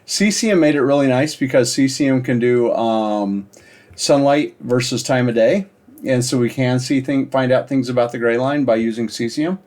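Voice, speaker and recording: A man presenting a casual screen-share software demo in an informal, halting, explanatory way. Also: medium pitch. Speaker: presenter demonstrating a software project.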